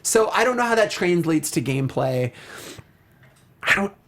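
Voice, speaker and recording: Higher-pitched man, casual show host. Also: sing-song, normal speed, a bit bright. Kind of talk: casual film and game discussion.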